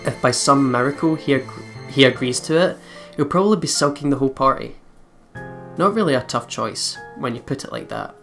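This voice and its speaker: A man's voice: medium pitch, brisk, vocal fry, a bit bright. Guy doing a lets play, reads the story lines then chats casually, game music under him. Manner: giggly, chatty asides between read passages. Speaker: let's play host. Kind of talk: reading visual novel text aloud mixed with casual chat. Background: game background music.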